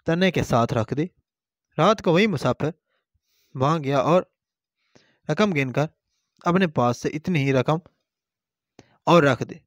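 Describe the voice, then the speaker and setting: Man, storyteller, moral tale narration, unhurried pace, short broken phrases, dry close sound. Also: on the high side, dry recording. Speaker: storyteller and channel host.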